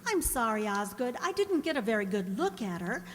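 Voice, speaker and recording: A woman's voice: a little high, big pitch swings, fairly quick. A woman playing a placid, absent-minded middle-aged housewife, breezy and distracted, in a radio comedy. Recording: echoey hall, long reverb.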